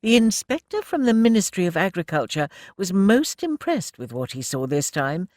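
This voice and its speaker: A prim, officious lady with a brisk, patronising politeness, put on by a male reader in a higher, lifted register. The delivery is dry and close. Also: big pitch swings, darkish tone, no room sound. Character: genteel refined middle-aged lady, brisk and patronising. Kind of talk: audiobook character voice.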